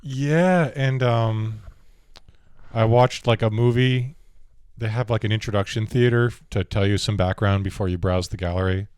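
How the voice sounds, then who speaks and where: A young man with a deep voice, a podcast host telling a rambling story in casual chat and laughing often. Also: moderate pace, darkish tone, smooth, dry recording, clean studio sound.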